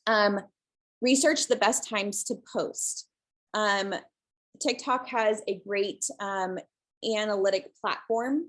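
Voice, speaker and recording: A woman from the presenting in a webinar over a video call, soft and relaxed, with many pauses and ums. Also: mid-range voice. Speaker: guest presenter, shelter social media creator.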